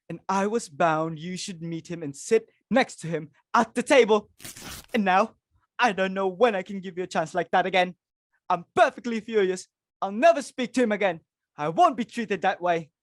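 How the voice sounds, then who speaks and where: A woman's voice: medium pitch, no echo, clean studio sound, natural voice. A woman playing an imperious, frantic, self-important society hostess and domineering mother in a dramatic reading of a stage comedy. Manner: theatrical, self-important, exclamatory.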